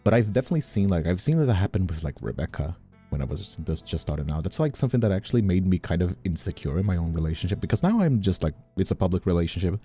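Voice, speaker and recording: A man from Brazil with a very low, husky voice talks candidly on a livestream over music. He rambles casually and swears freely, his pitch moving in an animated way. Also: very fast. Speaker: streamer and voice actor.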